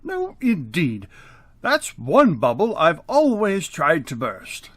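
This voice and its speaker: A man playing a pompous principal with swooping pitch. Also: wildly swooping pitch, a bit bright, dry recording, heavily acted. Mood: flustered, pompous. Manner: pompous, halting. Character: grouchy, pompous male school principal, flustered and evasive. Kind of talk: 1950s radio comedy acting.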